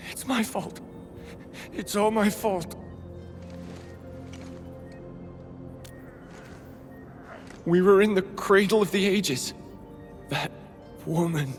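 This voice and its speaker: An actor playing an anguished, guilt-ridden rescue worker in a video game, speaking haltingly. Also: male voice, high voice. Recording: faint music behind the voice.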